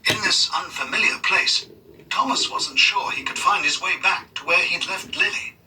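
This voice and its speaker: A man's voice: high voice, moderate pace, pushed and bright, distant mic, a little hiss or hum, low-quality recording. A man narrating a scripted children's read-along story.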